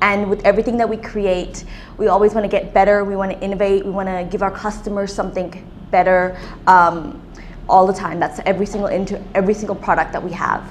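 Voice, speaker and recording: A woman's voice: medium pitch, level tone, racing, a little hiss or hum. A young woman from Australia, answering interview questions casually with frequent ums and restarts. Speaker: business owner, interviewee.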